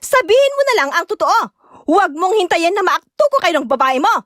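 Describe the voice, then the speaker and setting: An actress playing a jealous, nagging young wife in an audio drama, scolding and accusing with rapid questions. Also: female voice, extremely high pitch, big pitch swings, a bit bright, no echo, studio quality, heavily acted. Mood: suspicious, accusing. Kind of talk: Tagalog radio-style drama acting.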